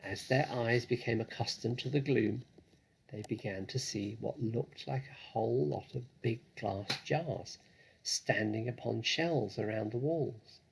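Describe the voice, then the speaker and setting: Gravelly man reading a storybook, unhurried. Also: medium pitch, a little hiss or hum, poor audio. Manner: deliberate, dramatic phrasing. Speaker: story reader. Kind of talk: expressive children's storybook narration.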